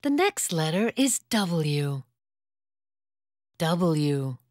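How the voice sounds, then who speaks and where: A woman giving scripted children's educational narration, gentle and patient, asking toddlers simple questions. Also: low voice, sing-song, drawn-out words. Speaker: narrator of a children's alphabet video. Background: children's background music.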